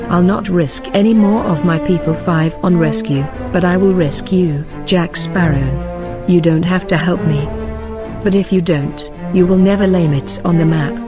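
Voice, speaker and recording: A woman's voice: lowish voice, a little slow, natural voice. A woman playing a stern warrior guardian over music. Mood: resolute, commanding. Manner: commanding, solemn. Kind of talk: motion comic voice acting. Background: background music.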